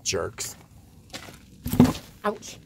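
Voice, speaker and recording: A man's voice: drawn-out words, bright, forceful tone. An American man from Rhode Island chatting casually to the viewer as he explores, thinking aloud in a wry, musing way. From Rhode Island, United States. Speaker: vlogger. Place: outdoors and inside small huts at a tourist site. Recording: outdoor ambient noise, handheld camera.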